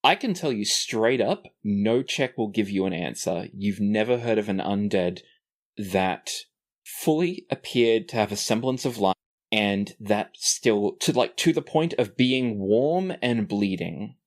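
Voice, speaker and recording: A man with a fairly low voice acts as game master of a tabletop fantasy game. He narrates scenes in a descriptive storytelling way and explains the rules as he goes. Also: animated, a little slow.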